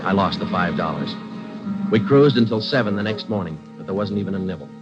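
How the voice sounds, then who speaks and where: A terse police sergeant tells his case in a clipped, deadpan way. His voice is very low. The sound is dull and lo-fi, with music behind it, as in an old radio broadcast. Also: a little fast. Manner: clipped, matter-of-fact narration. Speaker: police detective sergeant and narrator. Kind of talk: 1940s radio drama acting.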